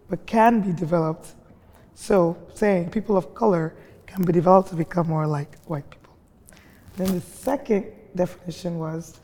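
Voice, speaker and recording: A woman hosting a panel discussion in a large library reading room, speaking in a measured way and reading definitions carefully. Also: fairly low pitch, mellow. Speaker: host and immigration manager. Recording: long reverb of a large hall.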